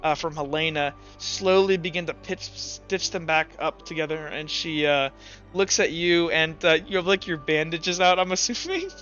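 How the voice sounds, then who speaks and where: A man's voice: fairly high pitch, normal speed, fairly bright tone. A man running a tabletop roleplaying game as game master, explaining rules and scenes in a casual, rambling way with restarts. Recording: dull lo-fi voice with music behind.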